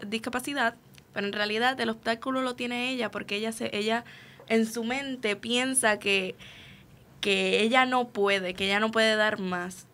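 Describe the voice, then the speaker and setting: Young female interviewee, bright voice at average pitch, earnest, halting, conversational delivery. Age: young adult. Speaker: student actress being interviewed.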